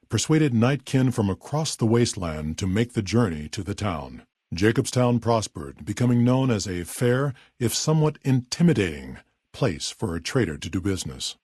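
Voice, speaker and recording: An actor playing a weary, level-headed super mutant town leader in a video game, with a deep, gravelly, husky voice and a blunt, measured, authoritative manner. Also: male voice, a little mellow, dry recording, clean studio sound. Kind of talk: video game character voice lines.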